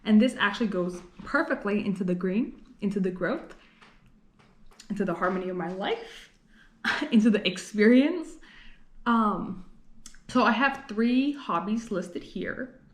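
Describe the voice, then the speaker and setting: A woman's voice: medium pitch, measured pace, pauses often. Chatty young woman, echoey room. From Los Angeles. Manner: chatty, rambling, frequent "like" fillers and laughs. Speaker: vlogger. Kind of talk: casual talking-to-camera vlog. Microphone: camera mic.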